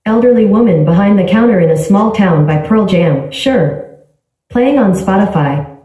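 A woman's voice: medium pitch, normal speed. Synthetic woman assistant voice, echoey bathroom. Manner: even, polite, clipped announcements. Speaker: smart speaker voice assistant. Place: small tiled bathroom. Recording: echoey bathroom, heard from a distance.

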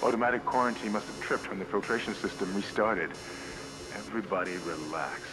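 An actor playing an authoritative, no-nonsense military commander in a video game, brisk and commanding. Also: male voice, fairly low pitch, close to an everyday voice, poor audio. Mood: firm, tense. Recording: loud game music behind.

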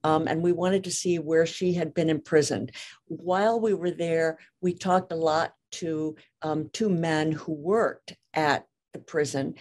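A woman's voice: sits low, fairly even intonation, measured pace, darkish tone. A woman speaking as an interviewed author and lawyer in a conversational interview, careful and precise, often rephrasing her points.

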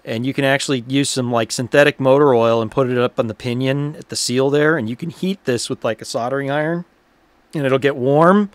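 A man giving casual, hands-on repair commentary in an informal, unhurried way, thinking aloud as he works. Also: mid-range voice, no echo. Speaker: repairer presenting his own workshop video.

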